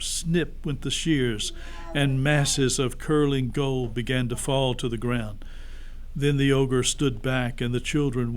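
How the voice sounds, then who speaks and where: A man narrating a story expressively, as a pastor reading aloud to children. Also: mid-range voice.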